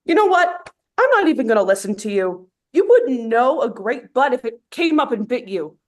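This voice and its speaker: A woman's voice: on the high side, big pitch swings, moderate pace. A woman playing a cocky, blunt young macho actor in a sitcom script read. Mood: indignant.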